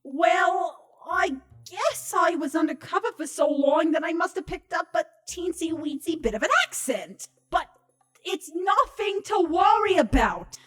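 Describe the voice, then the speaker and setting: Frantic, very high-pitched changeling spy. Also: female voice, unhurried, soft background music, heavily acted. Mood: sheepish. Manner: excitable, pleading, flippant. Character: frantic young female changeling spy, cocky and defensive. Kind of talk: dramatic comedy fan fiction reading.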